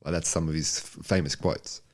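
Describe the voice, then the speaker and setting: A man with a low voice co-hosts a film podcast. He talks in casual banter, rambling and jokey, often repeating a phrase to push his point. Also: fairly even intonation, fairly quick. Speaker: podcast co-host.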